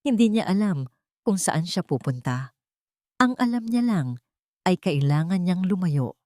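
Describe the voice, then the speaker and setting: A woman narrating a dramatic love story in measured, solemn, deliberate phrases. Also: low-pitched, warm tone, no room sound, studio quality.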